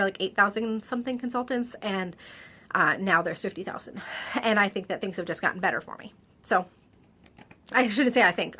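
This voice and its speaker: A woman with a stuffy, slightly nasal voice, reading out viewer questions and answering them in a chatty video, often pausing with "um". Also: mid-range voice, pushed and bright. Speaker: direct-sales clothing consultant. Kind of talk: casual Q&A vlog.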